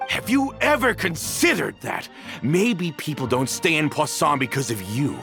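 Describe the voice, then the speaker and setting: A bitter, vengeful man in a dramatic game scene. His gravelly voice swings widely in pitch as he accuses, leaning theatrically on each phrase. Music plays underneath. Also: exaggerated voice. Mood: taunting contempt. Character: bitter, vengeful grown man, embittered former mafia member. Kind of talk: dramatic video game voice acting.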